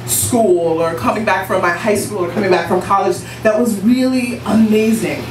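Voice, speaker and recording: A woman from Guyana in her late twenties tells a personal story to a live audience, confident and playful. She sounds set back from the mic in an echoing room. A clear hum of room noise runs underneath. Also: mid-range voice, normal speed. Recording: roomy, distant, noisy live room.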